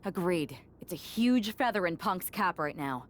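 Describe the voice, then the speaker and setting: A woman acting as a decisive, strategic pro wrestler in a game cutscene. Her voice is slightly low with lively intonation and matter-of-fact phrasing. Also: normal speed, close to an everyday voice. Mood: calculating. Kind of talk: video game cutscene dialogue.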